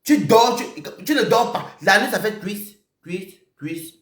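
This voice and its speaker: A man ranting on a live stream, accusing someone directly. He repeats himself and swings between shouting and muttering. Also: high-pitched, animated, a little slow, roomy sound. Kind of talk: heated live-stream rant.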